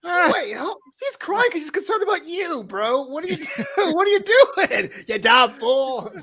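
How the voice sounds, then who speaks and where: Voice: high voice, sing-song, unhurried, fairly bright tone. A casual, joking co-host giving slangy live commentary at a listening party.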